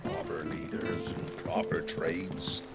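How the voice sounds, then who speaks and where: An actor playing a weary, embittered veteran sellsword in a fantasy game, grumbling heavily. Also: male voice, extremely low pitch, level tone, slow pace. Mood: weary, bitter. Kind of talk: video game drama dialogue. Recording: loud music under the voice.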